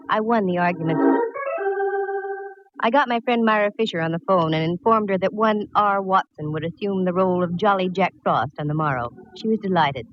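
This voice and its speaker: A woman's voice: medium pitch, highs cut off, a little hiss or hum, lightly acted. An actress playing a breezy, wisecracking female private eye in 1940s radio drama style, glib and sardonic, on a vintage recording. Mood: wry narrating. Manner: glib, sardonic, quick with quips.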